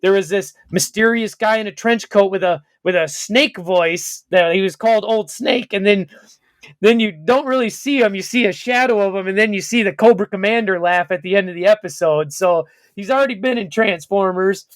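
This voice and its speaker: A man with a notably high speaking voice, chatting casually as a co-host. He is blunt and profane, and he laughs often between points. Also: normal speed. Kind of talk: casual podcast banter.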